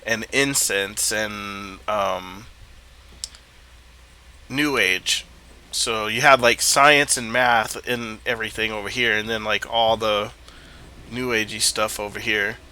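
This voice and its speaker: Stuffy-nosed guy rambling through a game, lots of "like", bright tense voice. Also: fairly low pitch, steady tone, slow, slight background noise, low-quality recording. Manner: rambling, thinks aloud with many "like"s. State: stuffy nose. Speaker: gameplay streamer. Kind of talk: casual let's-play commentary.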